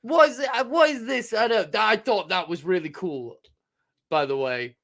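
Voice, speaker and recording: A man's voice: sits high, moderate pace, gravelly, a bit bright. A young man co-hosting a podcast, joking around and swearing freely. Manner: jokey, throws in silly voices and swearing. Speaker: podcast co-host. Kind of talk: casual chat reviewing a TV episode.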